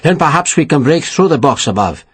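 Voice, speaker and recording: A man's voice: a little high, sing-song, fairly quick, breathy edge, no echo. A man voicing a wounded officer who thoughtfully suggests a plan. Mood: suggesting. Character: wounded male officer, practical.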